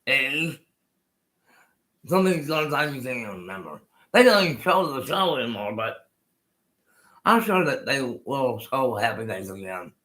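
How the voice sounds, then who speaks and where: A man's voice: medium pitch, expressive, normal speed, pauses often, pushed and bright. A man in his sixties with no teeth, so his speech is unclear at times, chatting in a rambling way as host of his own live stream. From Colorado, USA. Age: 60s. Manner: rambling, repeats himself, restarts often. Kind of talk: casual live-stream chat.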